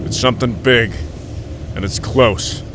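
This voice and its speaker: An actor playing a terse, commanding wartime military pilot who leads a commando squad in an audio drama. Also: male voice, medium pitch, measured pace, very noisy, natural voice. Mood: wary, focused.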